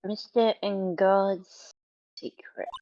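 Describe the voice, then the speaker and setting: A woman's voice: mid-range voice, steady tone, extremely slow, highs cut off, slight background noise. A woman voicing a sweet, anxious little girl in a video game dub, with a timid, hesitant manner. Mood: curious.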